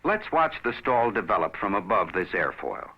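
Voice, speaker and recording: A man narrates a scripted educational film in a measured, instructive manner, addressing the viewer directly. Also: fairly low pitch, sing-song, slightly rough. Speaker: narrator of a pilot training film. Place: recorded voice-over. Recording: dull, aged film soundtrack.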